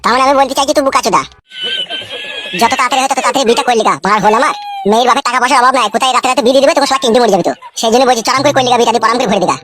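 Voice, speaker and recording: A man's voice: extremely high pitch, rapid-fire, sharp tone, faint music behind. A man voicing a cheeky, foul-mouthed, henpecked village husband in a comedy cartoon, with a rural West Bengal accent. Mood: brash, egging on. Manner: brash, colloquial.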